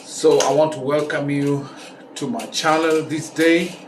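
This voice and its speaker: A man's voice: on the high side, steady tone, measured pace. A middle-aged man with a Kenyan accent telling stories casually as a vlog host, repeating phrases for emphasis. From Kenya, raised in Nairobi. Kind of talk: casual talk to camera, storytelling. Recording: roomy echo, distant mic, faint noise.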